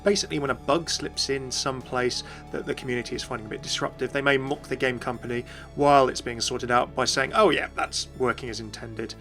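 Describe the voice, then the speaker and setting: A man with a mid-pitched voice presents a scripted game commentary. He explains each point and speaks straight to his viewers, with faint music underneath. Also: steady tone, a little fast, hardly any pauses, bright, forceful tone. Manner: explanatory, addresses viewers directly. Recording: voice-over with faint music behind.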